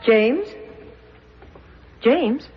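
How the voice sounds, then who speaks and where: A woman's voice: on the high side, animated, drawn-out words. An actress playing an anxious, devoted wife in an old-fashioned radio drama, tender and hesitant as she speaks. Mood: soothing. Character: anxious, devoted wife, gently soothing and troubled. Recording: dull, noisy old radio broadcast recording.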